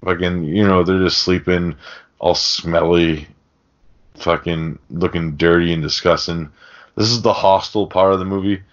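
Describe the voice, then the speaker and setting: A man's voice: sits low, steady tone, unhurried, dull audio. A man hosting a podcast, casually recapping a film in slangy, swear-heavy talk. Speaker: podcast host.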